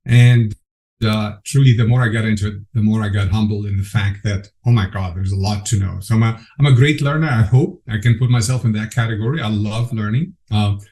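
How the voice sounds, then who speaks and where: A middle-aged man from Egypt talks as a guest on a podcast, his voice sitting a little low. He sounds earnest and keen, and he often backs up to restart a phrase. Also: steady tone, fairly quick, dark tone. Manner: earnest, enthusiastic, frequent restarts. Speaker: guest, program director. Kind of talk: conversational interview.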